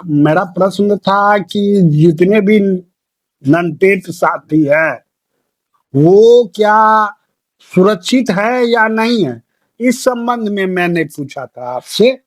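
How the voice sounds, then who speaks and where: A man putting questions in a phone discussion, with deliberate, formal phrasing. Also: high voice, lively intonation, no room sound. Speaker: interviewer. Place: recorded audio call.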